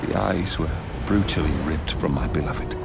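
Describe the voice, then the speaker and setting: A man voicing a grave, earnest demigod oath keeper in a video game, speaking in a solemn, measured way. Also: rumbling low, gravelly. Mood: sorrowful, solemn. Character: grave, sorrowful male oath keeper, rebellious demigod son. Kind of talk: cinematic video game acting. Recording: loud orchestral music behind. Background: loud game score.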